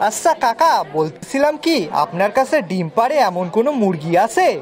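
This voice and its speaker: Woman voicing naive rustic servant boy Pocha, high-pitched. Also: very animated, moderate pace, a little mellow, some chatter behind. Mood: scheming, excited. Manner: deferential, rustic dialect turns of phrase. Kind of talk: cartoon voice acting.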